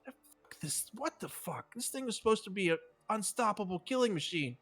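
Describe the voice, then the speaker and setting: A man's voice: high-pitched, animated, moderate pace, a bit bright, husky, soft background music, pitched above the performer's own voice, full character voice. A man voicing an exasperated, foul-mouthed villain woman in a tabletop game, incredulous and swearing.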